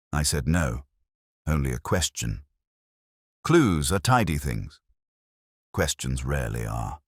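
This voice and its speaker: Man playing a very deep-voiced, brooding detective narrator. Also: no echo, clean studio sound, full character voice. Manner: measured, literary, brooding delivery. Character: melancholy, intellectual English police detective recounting a case. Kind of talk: scripted first-person detective story narration.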